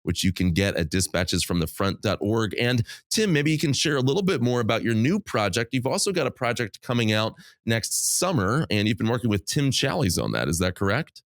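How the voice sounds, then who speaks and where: Man with a dry, lower-than-usual voice. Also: big pitch swings, brisk, hardly any pauses, dry recording. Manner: upbeat, promotional, warmly welcoming. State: getting over a cold, voice lower than usual. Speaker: podcast host. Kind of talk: scripted podcast intro and interview.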